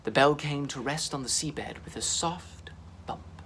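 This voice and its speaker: A man reading a children's story aloud in an expressive, dramatic storytelling manner. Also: a little high, measured pace, slight background noise. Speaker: storytime reader. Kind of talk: animated children's audiobook-style storytelling.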